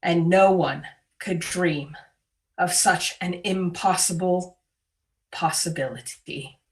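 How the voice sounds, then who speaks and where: Female worship leader, grave and deliberate, slightly low voice, very slow delivery of solemn liturgy, miked from a distance. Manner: grave, deliberate, with short weighted phrases. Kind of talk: solemn scripted prayer and reflection. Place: online video call.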